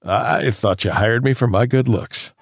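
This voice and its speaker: A man's voice: mid-range voice, measured pace, creaky, faint music behind. A man playing a brash, cheeky executive in a campy audio drama, teasing as he talks.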